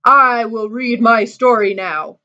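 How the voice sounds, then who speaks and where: A woman voicing a huge, slow-witted male giant who talks in a slow, heavy, plodding way. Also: fairly high pitch, sharp tone, dull audio. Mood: sulky.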